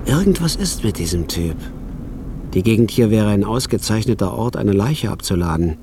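An actor playing a tired, cynical night clerk who narrates in a dry, wry way in a radio drama. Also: male voice, lowish voice, lively intonation, normal speed, dark tone, slight background noise. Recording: music bed under the voice.

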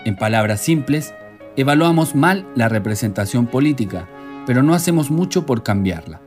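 A man narrates an informational radio segment at a middling pitch. He reads from a script, with soft music underneath. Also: lively intonation, normal speed.

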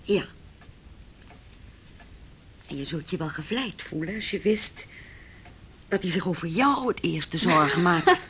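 A woman's voice: lowish voice, lively intonation, a little slow, pauses often, a bit bright, a little hiss or hum. An actress playing a cold, wealthy middle-aged mother in a Dutch radio play, speaking in a formal, condescending, cutting way. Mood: calculating. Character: haughty, cold, wealthy mother opposing her son's marriage.

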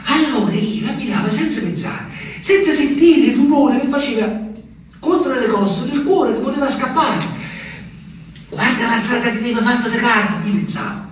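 An actor playing an anguished, simple Italian peasant man, telling his memories in a halting, urgent stage monologue. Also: very high voice. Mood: frightened, urgent. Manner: halting, repetitive, urgent storytelling. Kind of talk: theatrical monologue. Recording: echoey room, distant mic, faint noise.

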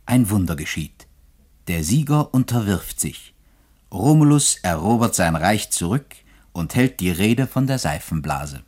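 A man's voice: on the low side, very animated, unhurried, a little mellow, slightly rough. A man giving dramatic scripted narration as the narrator of a radio play.

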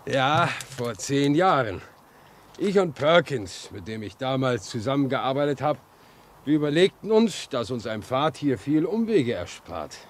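An actor in a German radio drama playing a folksy, helpful railway line inspector who likes to chat and caution. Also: male voice, mid-range voice, sing-song, measured pace. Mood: chatty, wry. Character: chatty, obliging railway line inspector guiding a stranger. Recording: noisy old radio play recording.

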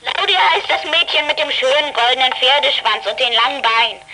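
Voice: high voice, a little fast, sharp tone, low-quality recording. A young performer playing a chubby, cheerful schoolboy in a children's radio play, chattily reporting over a walkie-talkie. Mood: amused chatty.

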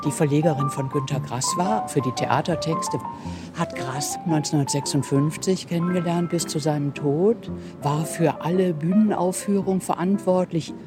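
A man's voice: on the high side, moderate pace, over music. A man talking casually on location, introducing a visit as he goes.

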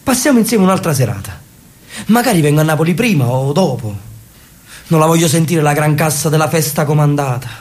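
A man playing a lonely old brother from Naples in a radio play, grieving and wry. His voice runs a bit high for a man, his speech slow to warm and full of brooding reflection. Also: expressive, lightly acted. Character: elderly Neapolitan brother, melancholic and solitary. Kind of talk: radio drama acting.

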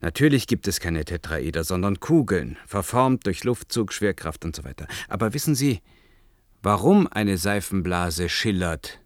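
An actor in a science-fiction radio play, playing an earnest, agitated amateur crystallographer on a submarine who explains things hesitantly yet insistently. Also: male voice, sits low, lively intonation, moderate pace, no echo, clean studio sound. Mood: earnest, explaining.

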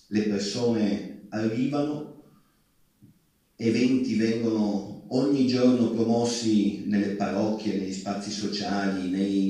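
A man giving a public speech from a theatre stage, deliberately, in short phrases broken by pauses. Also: on the low side, mellow. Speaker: ship owner and activist speaker. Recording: very echoey theatre hall, distant mic.

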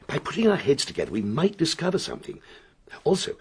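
An actor playing a brisk, impatient, well-to-do English gentleman in a radio drama, forthright and clipped, with an English accent. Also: on the high side, huge pitch swings, slight background noise. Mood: eager, businesslike.

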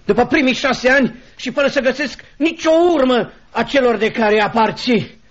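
High-voiced, bullying schoolmaster. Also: male voice, a bit bright. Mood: scornful, bullying. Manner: sneering, chuckling, falsely hearty, hectoring. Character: cruel, greedy, jovially bullying schoolmaster, middle-aged man. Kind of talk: theatrical Romanian radio drama. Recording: muffled radio recording with hiss.

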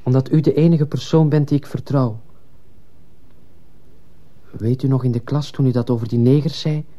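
A man's voice: medium pitch, steady tone, normal speed, mellow, natural voice. An actor playing an earnest, fearful schoolboy in a radio drama, confiding respectfully and hesitantly in his teacher. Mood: earnest, afraid.

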